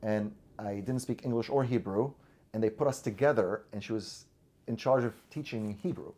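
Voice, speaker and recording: A man's voice: on the low side, normal speed, a little mellow. A man from Syria whose first language is Arabic, a podcast guest telling personal stories earnestly, often restarting and repeating words. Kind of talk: personal storytelling in conversation.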